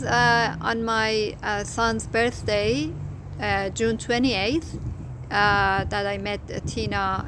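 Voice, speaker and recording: A middle-aged woman with a Persian accent, whose first language is Farsi, telling her story as a crochet designer in a casual, hesitant way, with many fillers and restarts. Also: mid-range voice. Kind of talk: casual personal story to camera. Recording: noticeable background noise.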